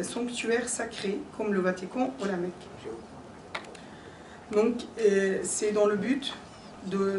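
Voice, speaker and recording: A young woman giving a formal conference talk in French, which is not her first language, speaking hesitantly with frequent fillers and restarts. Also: medium pitch. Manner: hesitant, many pauses and fillers. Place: conference hall. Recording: echoey hall, distant mic, background noise.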